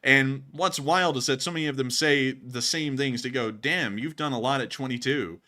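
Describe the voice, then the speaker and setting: A young man in his early twenties speaks with a bright, forward edge at a middling pitch. He hosts a live news stream, moving between emphatic commentary and folksy, casual chat with his viewers. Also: normal speed. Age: early 20s. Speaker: news channel host.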